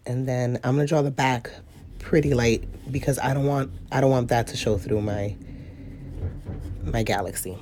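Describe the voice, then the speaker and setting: A woman's voice: a bass voice, steady tone, moderate pace, warm tone, a little hiss or hum. Sniffly woman chatting through a painting lesson. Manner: chatty, laughs often, talks to viewers. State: stuffy nose, sniffly. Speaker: watercolor painting instructor. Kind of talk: casual live tutorial talk.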